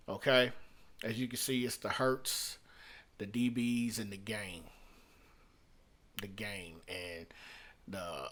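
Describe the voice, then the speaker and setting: Male music producer, middle-aged, medium pitch, conversational tutorial delivery, rephrases for emphasis. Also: a bit bright. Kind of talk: informal screen-share tutorial, unscripted. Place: small room at home.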